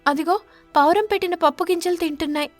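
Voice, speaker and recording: A woman voicing a distressed, plaintive mother sparrow in an animated bird story. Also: very high-pitched, fast talker, faint music behind, pitched above the performer's own voice. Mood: sad, polite. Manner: plaintive, emotional.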